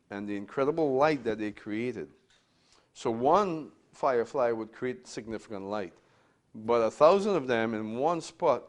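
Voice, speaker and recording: An older man from Trinidad, a pastor giving a conversational Bible lecture to a class, often checking in with "right?" and repeating his words. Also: medium pitch.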